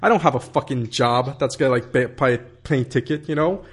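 A man's voice: medium pitch, fairly quick, husky. A man in his thirties telling a long story in casual conversation, rambling with many fillers, swearing and laughing.

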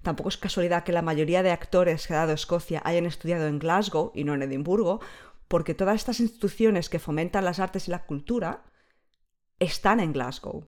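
A woman's voice: on the low side, a little fast, darkish tone. A woman in her thirties chatting as a podcast guest, explaining things fluently in long stretches. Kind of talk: casual conversation.

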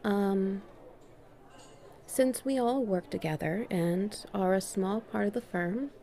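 A woman playing a confident, strict and subtly dominant female boss in a flirty audio roleplay, speaking in a measured, teasing way with deliberate pauses. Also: mid-range voice, warm tone. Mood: hesitant, coy. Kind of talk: flirty one-sided roleplay dialogue.

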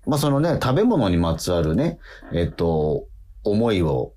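A man hosting a casual podcast-style radio talk, halting and often correcting himself as he explains things. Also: low voice, big pitch swings, warm tone. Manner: halting, self-correcting, trailing repetitions. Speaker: radio show host and actor. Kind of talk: casual radio-podcast chat introducing a show.

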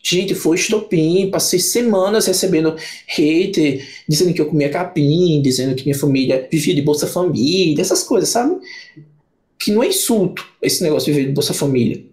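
Casting: young man, somewhat high-pitched, rambling and casual, podcast guest. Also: lively intonation, brisk, a little mellow. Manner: rambling, many restarts and fillers. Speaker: book channel creator, podcast guest.

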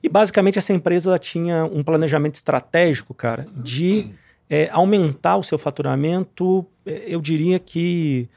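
A man's voice: on the high side, normal speed, gravelly, a little mellow, dry recording, studio quality. A man from southern Brazil talking informally as an interview guest about himself, peppering his speech with casual conversational tags. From southern Brazil, Rio Grande do Sul. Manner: informal, punctuated with tags like "né, cara". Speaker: guest, real estate marketing executive. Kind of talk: conversational interview, telling career story.

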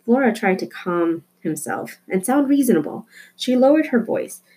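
A woman with a slightly husky voice reading a children's novel aloud as scripted narration. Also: fairly high pitch, expressive, moderate pace, mellow. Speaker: storybook reader.

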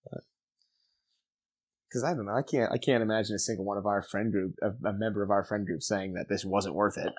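A man's voice: lowish voice, steady tone, fairly quick. A young man hosting a casual podcast, talking animatedly and swearing freely. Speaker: podcast host. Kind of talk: casual chat.

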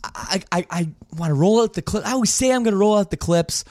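A man's voice: sits high, lively intonation, quick pace, darkish tone, no room sound, studio quality. A man hosting a casual podcast, upbeat and rambling, often restarting his sentences. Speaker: podcast host.

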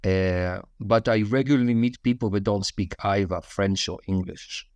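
A man, a podcast guest, answering interview questions in a relaxed, storytelling way with frequent fillers. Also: lowish voice, normal speed, no echo.

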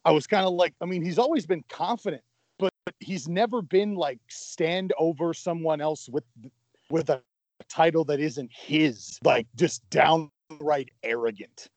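A man's voice: a little high, measured pace, darkish tone, dry recording. A man co-hosting a podcast in casual chat, enthusiastic, often stammering and restarting his sentences. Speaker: podcast co-host.

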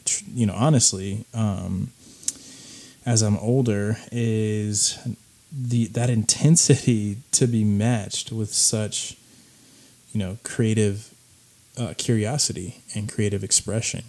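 Raspy guy hosting a podcast, low flat voice, loose and rambly. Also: slow pace. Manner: laid-back, rambling with many fillers. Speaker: podcast host. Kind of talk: casual commentary on clips.

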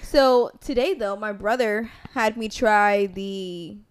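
A woman's voice: medium pitch, slow, smooth. A young woman co-hosting a casual podcast chat, rambling with lots of filler words. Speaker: podcast co-host.

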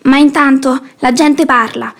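A woman's voice: sits high, fairly even intonation, unhurried. An actress playing an imperious, sanctimonious old mother-in-law in a theatrical radio play, haughty and preachy. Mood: stern, disapproving.

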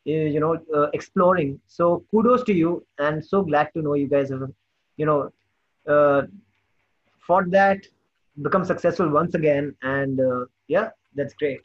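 A man's voice: on the high side, measured pace. A man hosting a casual interview, affirming and effusive toward his guests, on muffled audio. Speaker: podcast host.

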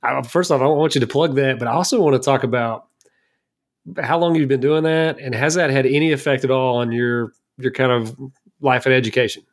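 Halting male podcast host asking questions. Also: medium pitch, fairly even intonation. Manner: halting, repeats words as he starts questions. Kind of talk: interview questions.

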